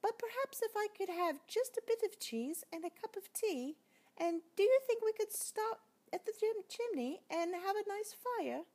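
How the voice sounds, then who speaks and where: A woman's voice: very high-pitched, lively intonation, moderate pace, dark tone, pitched above the performer's own voice. A woman voicing a small, polite, persistent male mouse in a storybook, with dainty, genteel, apologetic manners. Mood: gently coaxing. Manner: dainty, genteel, courteous phrasing.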